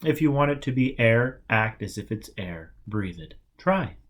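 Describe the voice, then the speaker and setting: Man reading as calm, teasing male mystic teacher. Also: medium pitch, a little slow, lightly acted. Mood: calm, instructive. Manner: instructive, short commands.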